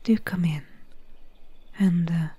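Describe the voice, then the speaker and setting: Woman acting as a mysterious swamp witch, ASMR roleplay, breathy whisper, extremely slow, calm, close-miked. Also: on the low side, no echo, full character voice. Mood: calm, inviting. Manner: slow, hushed, unhurried. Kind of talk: soft-spoken ASMR roleplay. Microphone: close mic.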